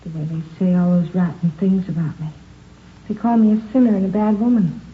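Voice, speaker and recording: A woman's voice: fairly low pitch, brisk, close to an everyday voice. Anxious young woman on tinny old radio actress. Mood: troubled. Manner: earnest, pleading, fretful. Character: anxious, earnest young churchgoing woman being threatened. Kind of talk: 1950s radio drama acting.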